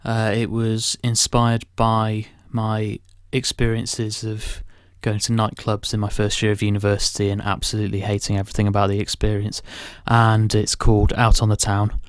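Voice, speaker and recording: A man's voice: fairly low pitch, monotone, moderate pace, few pauses, clear, resonant tone, dry recording, studio quality. A young man co-hosting a podcast, reading out quiz questions and chatting casually. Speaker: podcast co-host and quizmaster.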